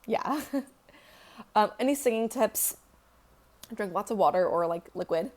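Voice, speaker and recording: Young woman, casual vlog chat, rambling, swears offhandedly, mid-pitched voice. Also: lively intonation, measured pace, a little mellow. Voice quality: deeper singing voice. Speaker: singer answering fan questions.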